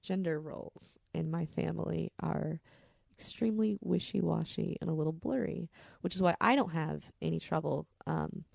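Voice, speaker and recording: Low, creaky-voiced woman telling candid stories. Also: normal speed, few pauses, a little mellow, dry recording, studio quality. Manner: candid, salty-tongued. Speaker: podcast storyteller. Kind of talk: candid, rambling personal storytelling.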